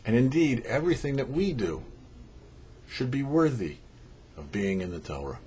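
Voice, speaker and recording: A man's voice: mid-range voice, big pitch swings, normal speed, darkish tone, dull audio, a little hiss or hum. A man, a rabbi hosting a show, delivers prepared religious commentary in a didactic way, posing questions and then explaining.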